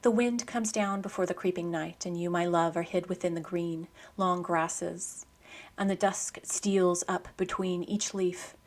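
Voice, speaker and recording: A woman hosting a poetry program, reading scripted commentary and verse in a measured, literary way. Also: lowish voice, steady tone.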